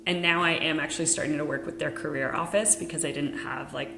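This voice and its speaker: Young woman, dutch first language, blunt chatty podcast host, low voice in an echoey room. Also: steady tone, fast, gravelly, background noise. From United States. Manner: frank, direct, many "like" fillers. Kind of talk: casual podcast conversation.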